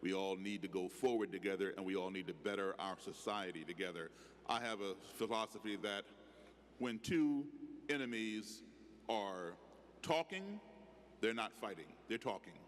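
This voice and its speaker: A man's voice: lowish voice, moderate pace. A middle-aged man speaking emphatically as a panelist, handheld mic on a stage in a large hall. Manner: emphatic, preacherly cadence. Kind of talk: panel discussion. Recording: hall reverb, faint background noise.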